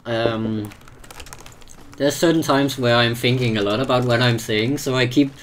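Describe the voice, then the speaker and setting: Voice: medium pitch, a little slow, a little hiss or hum. A live streamer with a non-native English accent, chatting casually while gaming, hesitant and often repeating words while searching for them. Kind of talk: casual chat while playing a game.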